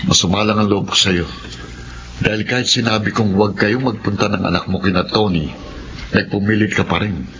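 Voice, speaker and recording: A man's voice: lowish voice, fairly even intonation, a little fast, lightly acted. An actor playing a resentful, authoritative Filipino husband and father in a radio drama. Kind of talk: radio horror drama acting. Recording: dull radio sound, clear noise.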